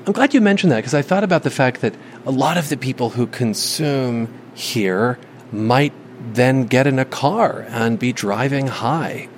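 A man reporting a news piece and interviewing a guest in a measured, inquisitive way. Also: mid-range voice, a little hiss or hum. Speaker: radio reporter.

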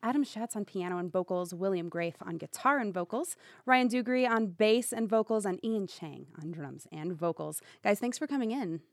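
A woman radio host introduces a band and its players in a brisk, upbeat announcer manner. Her voice sits in a middle register. The studio sound is dry, with no room echo. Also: medium pitch. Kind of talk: radio presenting and interviewing.

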